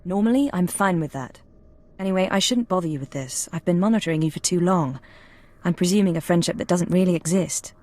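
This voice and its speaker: An actress playing a professional intelligence analyst in a video game, earnest and reserved, with a measured, formal delivery. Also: female voice, fairly low pitch, mellow, natural voice. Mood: candid, subdued.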